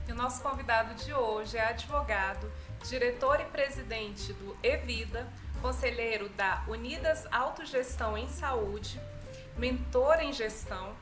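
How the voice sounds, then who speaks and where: A woman's voice: a little high, a little slow, roomy sound, over music. A woman co-hosting a podcast, reading the guest's introduction and asking short questions. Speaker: podcast co-host.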